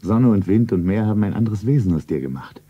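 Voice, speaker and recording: A man playing a wealthy lover in a stylised 1970s radio play, tender and solemn, with an intimate husky delivery. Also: on the low side, lively intonation, fast talker, dark tone, dull audio.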